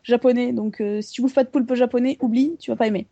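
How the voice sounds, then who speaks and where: A woman's voice: a little high, fairly even intonation, fast talker, creaky, dark tone, dry recording, lo-fi sound. A woman co-hosting a casual group podcast, rambling with many fillers. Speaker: podcast co-host.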